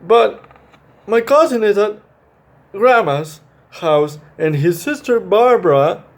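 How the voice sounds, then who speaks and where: A man reads as an imaginative little storybook boy, playful and earnest. The pitch is raised and the words come slowly and haltingly. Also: lively intonation, full character voice.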